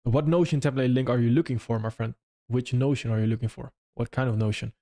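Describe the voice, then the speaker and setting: Young non-native English-speaking man, live-stream host answering chat, loose and rambling, mid-pitched voice. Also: steady tone, fast talker, dark tone. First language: not English. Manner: loose and conversational, frequent restarts and "if that makes sense".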